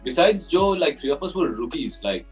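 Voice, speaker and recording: A man's voice: a little high, brisk, faint music behind. A man in his twenties from Kalimpong, a band musician, talking animatedly and saying "like" constantly. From Kalimpong, India. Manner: animated, heavy use of "like". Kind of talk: casual conversation. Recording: voice picked up from a distance.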